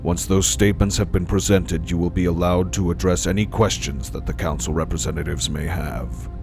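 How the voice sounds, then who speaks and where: A stern starship captain in a sci-fi audio drama. His deep voice is formal and deliberate, full of authority, over clear background music. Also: fairly even intonation. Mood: stern, official. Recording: music under the voice.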